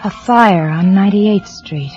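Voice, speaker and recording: A secretive wife, played by an actress, answers in short, defensive lines in an old radio drama. Soft music sits faintly behind her. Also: mid-range voice, a little slow, dull audio, lightly acted. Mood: guarded. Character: evasive wife, secretive, tense. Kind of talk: 1940s radio drama acting.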